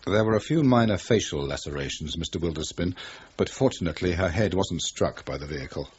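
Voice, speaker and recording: An actor playing a formal, unruffled detective chief inspector in a radio crime drama, matter-of-fact and official. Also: male voice, deep voice, expressive, fairly quick, a little mellow. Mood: official, calm. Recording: dull lo-fi audio, faint noise.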